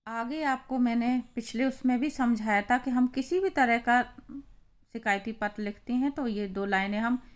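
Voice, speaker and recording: A woman with an Indian accent teaching in a deliberate, explanatory way, as in an instructional lesson. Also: on the high side. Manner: deliberate, explanatory, reading phrases out then translating them.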